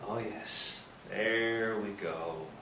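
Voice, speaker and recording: An actor playing a genial, fun-loving priest in a staged play reading. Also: male voice, lowish voice, extremely slow, pushed and bright, full character voice. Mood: playful. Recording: roomy distant stage recording, faint noise.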